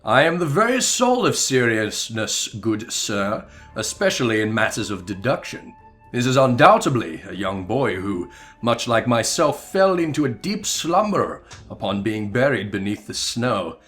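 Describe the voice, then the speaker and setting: A man voicing a pompous, oblivious gentleman detective from a video game in florid, theatrical declamation. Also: medium pitch, big pitch swings, a little slow, soft background music. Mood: self-assured. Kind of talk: dramatic reading of video game dialogue.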